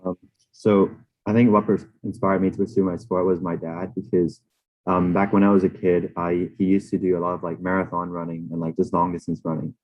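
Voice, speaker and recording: Young male student panelist from Hong Kong and Thailand, low voice, informal and halting. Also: little pitch movement, a little mellow, poor audio. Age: young adult. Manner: hesitant, filler-heavy with frequent "like". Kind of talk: casual panel answers.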